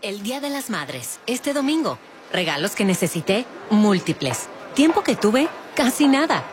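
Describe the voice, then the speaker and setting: Lively woman voicing a retail commercial. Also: mid-range voice, normal speed, soft background music. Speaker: advertisement voice-over. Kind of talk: scripted retail radio commercial.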